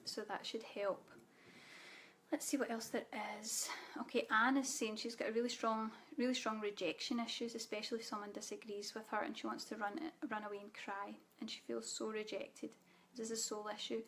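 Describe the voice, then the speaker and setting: A Scottish woman leading a live online spiritual training, speaking softly and calmly, guiding listeners with frequent ums. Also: on the high side, brisk, slight background noise. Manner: soft guided-meditation delivery with fillers. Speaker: spiritual teacher.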